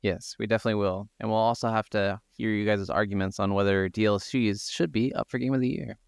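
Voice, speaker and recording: A man's voice: fairly low pitch, fairly even intonation, fast talker, gravelly, clear, resonant tone, no echo, studio quality. A man co-hosting a gaming podcast, talking casually and informally with some swearing. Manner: informal, profane. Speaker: podcast co-host.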